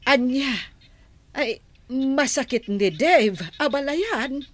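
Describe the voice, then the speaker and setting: A woman's voice: high-pitched, lively intonation, slow pace, heavily acted. An actress playing a caring, concerned middle-aged mother with a Filipino accent, speaking expressively in a radio drama.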